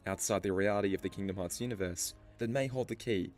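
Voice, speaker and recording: Low-voiced man narrating over music. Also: fast talker, darkish tone. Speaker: video essay narrator. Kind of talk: scripted story explainer narration. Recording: music under the voice. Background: background music.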